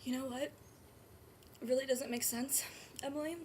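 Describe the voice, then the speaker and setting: A woman performing a dramatic stage monologue as a grief-stricken, guilt-ridden nineteen-year-old mother, speaking haltingly and often in a breathy hush. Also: high voice, steady tone, close to an everyday voice. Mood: grieving, quiet. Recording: noisy amateur room recording.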